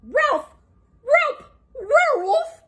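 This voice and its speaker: A woman's voice: very high-pitched, sing-song, drawn-out words, a bit bright, voice pushed up, heavily acted. A woman voicing a scared cartoon dog who yelps in garbled, dog-like words, reading from a storybook. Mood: panicked.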